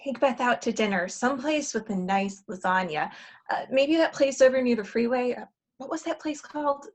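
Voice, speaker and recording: Actress as bossy, high-voiced female matchmaker. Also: lightly acted. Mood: exasperated. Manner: brisk, sardonic, directive. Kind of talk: virtual table read of a comedy play.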